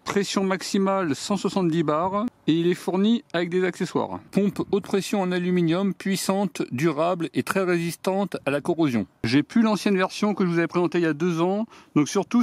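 DIY host, man, slightly high voice, plain practical step-by-step delivery, recorded outdoors on camera mic. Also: fairly quick, darkish tone, no echo. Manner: plain step-by-step explaining, addresses viewers directly. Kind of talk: hands-on product demonstration. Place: outdoors on a garden deck.

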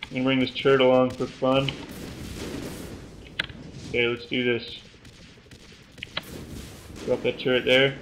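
Joking young man yelling at a game. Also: medium pitch, monotone, unhurried, pauses often, a little hiss or hum. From Canada. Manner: joking, self-mocking, bursts of yelling at the game. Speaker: gaming YouTuber. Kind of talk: gameplay commentary. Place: small room at home.

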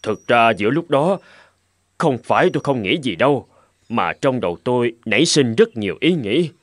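A man acting earnest men in an animated moral fable, with a theatrical, declamatory delivery. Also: medium pitch, moderate pace, no room sound, studio quality. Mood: reflective. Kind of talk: animated folk tale dub. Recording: music behind the voice.

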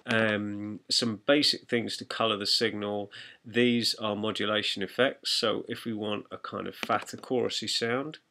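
Bright-voiced man giving a software tutorial. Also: fairly low pitch, fairly even intonation, unhurried, a little hiss or hum. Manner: explanatory, conversational. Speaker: music production tutor. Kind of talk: informal software tutorial narration.

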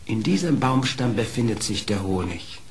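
A man's voice: on the low side, animated, moderate pace. An actor playing a sly male fox in a children's radio play, a wheedling trickster feigning innocence.

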